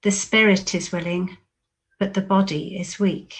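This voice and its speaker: Slow, solemn woman reading scripture. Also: on the low side, steady tone. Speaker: scripture reader.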